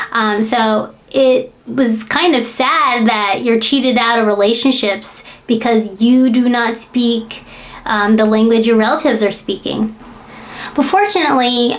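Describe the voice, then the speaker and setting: An American woman, a parent, hosting her own language-learning channel and talking candidly to the camera with frequent fillers. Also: fairly high pitch. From United States. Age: adult parent. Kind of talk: personal talk to camera.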